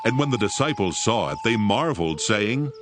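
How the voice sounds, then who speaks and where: Formal guy narrating bible story, lively intonation, loud music behind him. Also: fairly low pitch, moderate pace. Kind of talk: scripted scripture narration. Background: prominent background music.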